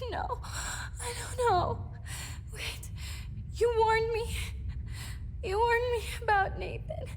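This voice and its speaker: A woman's voice: extremely high pitch, fairly even intonation, takes it slowly, heavily acted. An actress playing a terrified, helpless eighteen-year-old student in a video game, crying and faltering as she pleads. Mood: panicked, tearful. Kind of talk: emotional video game voice acting. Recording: echoing reverberant space, background noise.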